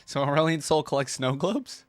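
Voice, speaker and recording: A man's voice: a little high, expressive, moderate pace, faint music behind. A man giving casual, conversational reaction commentary, musing aloud as he goes. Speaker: reaction video host.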